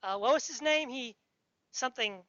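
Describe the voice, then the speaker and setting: A woman with a fairly high voice chats casually as a podcast interviewer. She is informal and enthusiastic. The sound is very dry, though dull and lo-fi. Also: moderate pace, fairly bright tone, no echo.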